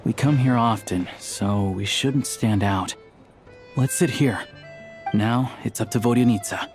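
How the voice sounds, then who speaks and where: A man playing a calm, courteous, reassuring accomplice in a video game, speaking in a composed and measured way. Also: mid-range voice, natural voice. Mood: calm, assured. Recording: music under the voice.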